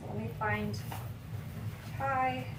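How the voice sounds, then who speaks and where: A woman's voice: on the high side, steady tone, extremely slow. Woman, stuffy nose, sniffling, chatty craft host, talks while working, roomy room, mic at a distance, noisy background. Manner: chatty, narrates her hands-on work as she goes. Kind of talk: casual step-by-step craft demonstration.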